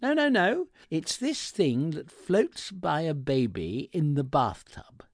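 Guy doing a flustered gent shopper from a comic story, sputtery and insistent, voice pushed up high, really animated. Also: slow, no room sound, studio quality, full character voice. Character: flustered, indignant gentleman shopper losing his temper.

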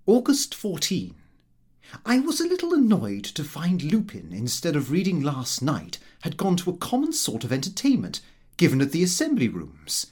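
A man reading a comic first-person diary as an audiobook, in a prim, expressive, self-important manner. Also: a little high, normal speed, breathy edge. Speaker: audiobook narrator.

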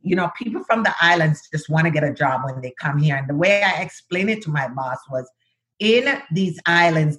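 Jamaican woman guest in her fifties, low-pitched, measured storytelling over a call. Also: fairly bright tone. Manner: measured, sometimes overpronounces words. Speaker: guest, diversity executive. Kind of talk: interview conversation.

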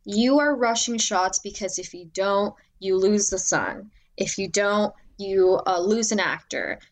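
Young woman, loud voice, casual interview guest, filler-heavy chatty talk. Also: medium pitch, a little slow. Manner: animated, filler-heavy, many "like"s. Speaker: assistant director guest.

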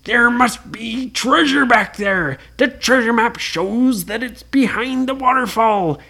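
A woman voicing a gruff, loud male pirate captain who growls his words in a bedtime story. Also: on the high side, slow, voice pushed up, exaggerated voice. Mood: commanding.

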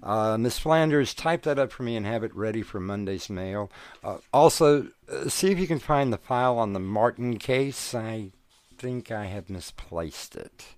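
An actor playing a businessman fiancé who talks in a brisk, businesslike way and grows guarded. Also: on the low side, expressive, darkish tone. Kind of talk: audio drama acting.

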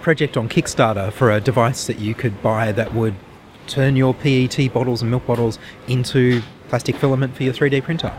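A man hosting a radio show, presenting guests in a brisk, straightforward way. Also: mid-range voice, fairly even intonation, a little hiss or hum. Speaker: radio host.

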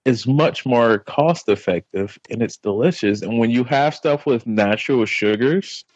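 A man talking as a podcast guest, relaxed, explaining things plainly in casual chat. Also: medium pitch, measured pace, no room sound, highs cut off.

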